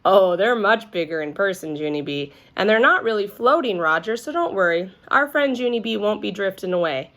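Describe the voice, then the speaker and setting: A woman's voice: mid-range voice, animated, normal speed, hardly any pauses, a bit bright. A woman voicing a kindly, wry male schoolteacher who patiently explains things to children in a storybook. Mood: explaining. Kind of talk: children's storybook read-aloud.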